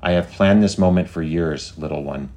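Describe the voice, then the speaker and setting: A man's voice: low-pitched, moderate pace, dark tone, close to an everyday voice. Man voicing a solemn, lovestruck AI. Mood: solemn devotion. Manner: declamatory, solemn, formal. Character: devoted, lovestruck artificial intelligence, grandiose and tender.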